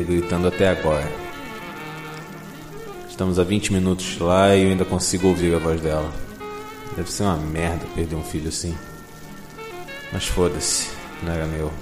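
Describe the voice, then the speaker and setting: An actor playing a cynical, foul-mouthed police detective in a Brazilian audio drama, narrating with a weary, sardonic bluntness. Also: male voice, sits low, echoey room, lightly acted.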